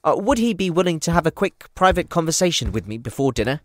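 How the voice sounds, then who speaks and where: Genial, hesitant hobbit gentleman, pitched up by a male narrator. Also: big pitch swings, fast talker. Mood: gentle, polite. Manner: polite, hesitant, theatrical. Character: genial, hesitant, self-mocking well-preserved elderly hobbit gentleman. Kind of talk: audio drama acting.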